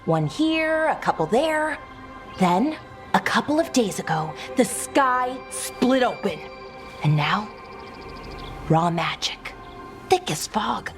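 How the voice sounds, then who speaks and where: A woman's voice: a little high, over music. An actress playing an eager, chatty young female elven magic researcher who thinks aloud in a fantasy video game. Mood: intrigued, uneasy. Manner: excitable, halting, thinking aloud. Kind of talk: cinematic video game dialogue.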